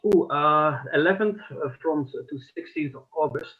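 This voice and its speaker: A Dutch man, a songwriter, speaking haltingly with many fillers on a video call. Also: a little high. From Netherlands. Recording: tinny phone-like call audio.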